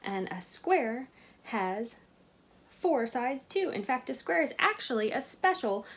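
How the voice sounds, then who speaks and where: A woman voicing a patient kindergarten teacher in a storybook, speaking in a deliberate, instructive way. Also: on the high side, very animated, voice pushed up, full character voice.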